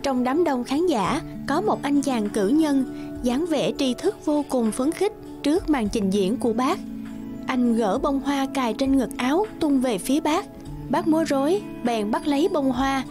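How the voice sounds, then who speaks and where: A woman's voice: high-pitched, lively intonation, a little slow, over music. A woman narrating a scripted fairy tale for children.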